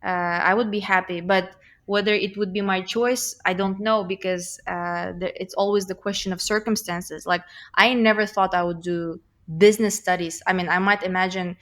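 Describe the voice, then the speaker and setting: A woman's voice: mid-range voice, fairly even intonation, moderate pace, a bit bright. A young woman with a slight Russian accent, a guest talking thoughtfully in a casual interview, with many fillers and restarts. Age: young adult.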